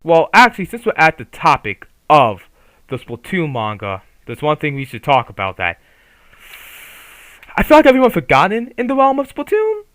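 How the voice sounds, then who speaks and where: Man, casual swearing commentary, rambling restarts, slightly high, bright. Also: moderate pace, dry recording. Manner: swears freely, rambling with restarts and animated emphasis. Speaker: YouTuber reviewing a manga.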